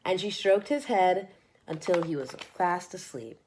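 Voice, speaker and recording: A woman reading a children's storybook aloud as narrator, in an expressive storytelling manner. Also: fairly low pitch, a little slow, a little hiss or hum, poor audio. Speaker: storybook reader.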